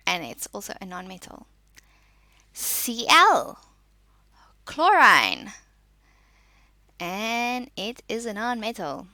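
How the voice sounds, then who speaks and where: A woman giving a spoken lesson as a teacher, reading out and explaining in short, deliberate, instructive statements. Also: fairly high pitch, bright, forceful tone, dry recording, clean studio sound.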